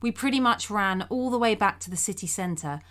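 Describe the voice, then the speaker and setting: Female narrator, medium pitch, scripted first-person scary storytelling. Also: animated, moderate pace, a bit bright. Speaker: narrator reading a listener's true scary story.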